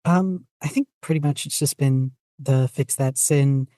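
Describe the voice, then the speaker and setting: Very low-pitched woman, casual podcast guest. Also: unhurried, warm tone, dry recording. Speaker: podcast guest, fanfiction writer and podficcer. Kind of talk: casual interview chat.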